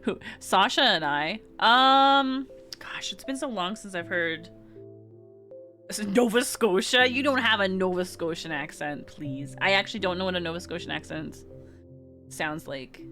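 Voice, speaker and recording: A woman's voice: mid-range voice, unhurried, bright, forceful tone, faint music behind. A woman with an American West Coast accent, chatting casually with her viewers on a game stream, giggling and rambling with many restarts. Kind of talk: casual chat reading live chat during a game stream.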